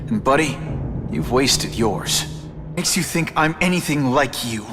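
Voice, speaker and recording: An actor voicing a young male wisecracking superhero in a video game cutscene, firm and confrontational with a quick, joking edge. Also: medium pitch, expressive, over music. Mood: stern.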